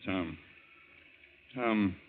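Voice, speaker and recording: A deep-voiced older male physician in a 1940s radio play. He is brusque, stern and clinical, giving orders curtly. The recording is old and muffled. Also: extremely slow, slight background noise. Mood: brisk, clinical. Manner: curt, authoritative.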